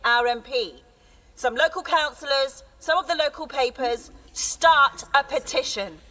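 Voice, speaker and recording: A committed schoolteacher in an audio drama, speaking out at a town meeting. Her voice sits high and sharp-edged, firm and impassioned, and it is caught from a small distance. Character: earnest woman teacher rallying a town meeting. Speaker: schoolteacher leading a town campaign.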